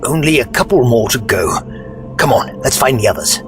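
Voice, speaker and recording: A man playing a frail, kindly old gentleman, weary and courteous, in an audio drama. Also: medium pitch, animated, moderate pace, over music, lightly acted. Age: older. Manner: doddery, courteous, sighing and groaning. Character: frail, weary, kindly old Doctor leaning on a walking stick.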